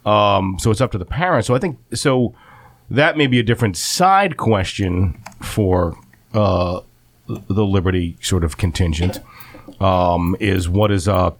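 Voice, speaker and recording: A man's voice: low-pitched, lively intonation, a little slow, no echo. A man co-hosting a casual radio discussion, feeling his way through points in hesitant, roundabout phrasing. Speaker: radio co-host.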